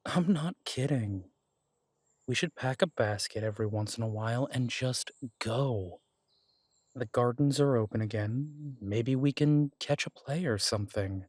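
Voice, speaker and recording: A man voicing a protective male werewolf detective in an audio drama, speaking in a gentle, reassuring, unhurried way. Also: mid-range voice, no room sound, clean studio sound, natural voice. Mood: warm, chatty. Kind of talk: romantic audio drama roleplay.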